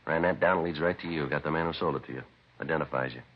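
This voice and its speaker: A man's voice: extremely low pitch, fast, husky. A man playing a terse, methodical police detective in a 1950s radio drama, matter-of-fact and unbelieving as he questions a suspect. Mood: flat, pressing. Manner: clipped, matter-of-fact interrogation. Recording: dull vintage radio recording.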